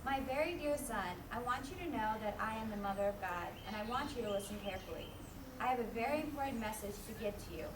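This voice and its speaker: In an echoey, noisy room, a woman acts out a sweet, motherly heavenly lady for a children's puppet show. The high-ish voice is tender and formal, picked up from some distance. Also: expressive, fast talker, lightly acted. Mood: tender, regal.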